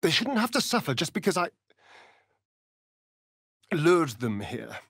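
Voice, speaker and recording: An actor playing a sly, vain vampire rogue in a video game, with a theatrical, arch, drawling delivery. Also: male voice, fairly high pitch, sing-song, measured pace, creaky, fairly bright tone, slightly rough, dry recording, clean studio sound, heavily acted. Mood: wry, teasing.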